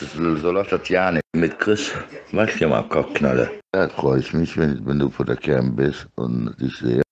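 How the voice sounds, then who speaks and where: A middle-aged man with an extremely low voice talks in an insistent, repetitive ramble. His monologue is sexually suggestive, and the recording is dull and lo-fi, as if from a phone held close. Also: vocal fry. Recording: dull lo-fi clips.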